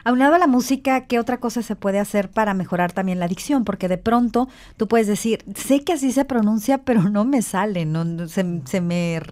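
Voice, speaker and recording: A woman co-hosts a morning radio interview and asks inquisitive questions. Her voice has a dark, mellow tone at a middle pitch, and she often restarts her phrases as she talks. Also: fairly quick, few pauses. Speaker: radio co-host.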